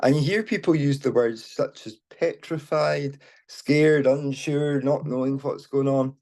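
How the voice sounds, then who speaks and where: Scottish man, informal speaker, mellow tone, on a phone line to a call. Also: mid-range voice, a little slow. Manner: informal, with many fillers and restarts. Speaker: charity community team lead. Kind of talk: unscripted talk to an online meeting. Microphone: mobile phone.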